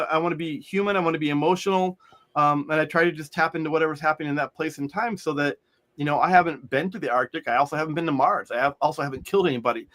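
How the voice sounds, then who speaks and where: Middle-aged male author, slightly high voice, rambling conversational guest. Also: fairly bright tone. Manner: rambling, many restarts and fillers. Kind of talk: conversational interview.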